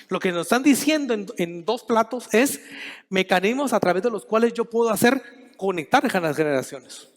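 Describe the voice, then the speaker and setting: Man, middle-aged, from Guatemala, conference speaker, measured, restarts, echoing hall. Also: high voice, animated. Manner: measured, thinking aloud with many restarts and fillers. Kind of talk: conference talk. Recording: long hall reverb.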